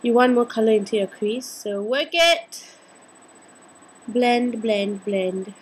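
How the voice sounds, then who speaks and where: A woman in her twenties with a Singaporean accent and a slightly husky voice, chatting playfully through a casual makeup tutorial. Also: on the high side, slow, low-quality recording. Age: 20s. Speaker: beauty vlogger. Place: indoors, small home room.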